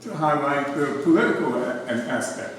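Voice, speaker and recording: A man's voice: fairly high pitch, lively intonation, normal speed, a bit bright, slight background noise. A man in the audience at a gallery talk, asking a long question with many restarts and repeated words. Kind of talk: hesitant question from the floor. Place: art gallery. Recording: echoey gallery room, far from mic.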